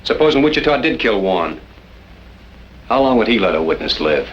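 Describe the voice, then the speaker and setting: A man's voice: lowish voice, big pitch swings, moderate pace. An actor playing a terse, suspicious man of the West who gives orders dryly in a radio western. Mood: exasperated. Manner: terse, commanding, dry. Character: stern, suspicious western cattleman taking charge. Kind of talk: old time radio western acting. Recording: muffled, noisy old radio recording.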